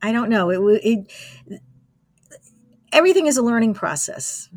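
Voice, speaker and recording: A woman's voice: a little high, lively intonation, normal speed, a little mellow, dry recording, clean studio sound. A woman in her sixties reminiscing casually as an interview guest. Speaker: interviewee, radio station alumna.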